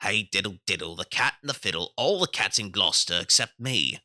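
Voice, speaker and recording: A man's voice: lowish voice, animated, fairly quick, pushed and bright. Simpkin, a man doing a sulky hungry tomcat. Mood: wistful. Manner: sighing sing-song, then yowling meows.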